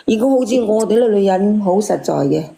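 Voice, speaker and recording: A woman's voice: mid-range voice, lively intonation, brisk, creaky, mellow. A woman caller, chatting casually in a frank, plain-spoken way. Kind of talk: casual chat.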